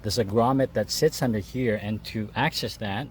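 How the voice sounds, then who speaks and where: Guy with a lowish voice walking thru a diy job step by step, kinda halting, noisy background. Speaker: host of a DIY truck modification video. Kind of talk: casual step-by-step tutorial. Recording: clear background noise, ambient sound.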